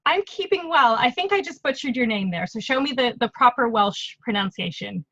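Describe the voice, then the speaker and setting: A woman hosting a casual interview, chatting in an enthusiastic, lively way. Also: fairly high pitch, brisk. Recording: dull, dry lo-fi audio.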